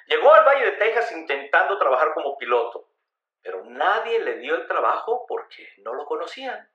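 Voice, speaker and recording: A man delivers dramatic scripted radio narration with theatrical emphasis. His pitch moves in lively swings, and his tone is bright and forceful. The voice carries a clear roomy echo. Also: moderate pace, dull audio.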